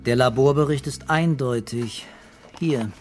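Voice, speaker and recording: An actor playing a middle-aged, dreamy and brooding police commissioner in a radio crime drama, talking calmly and deliberately. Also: male voice, medium pitch, faint music behind, close to an everyday voice. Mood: matter-of-fact, musing. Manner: musing, calm, deliberate.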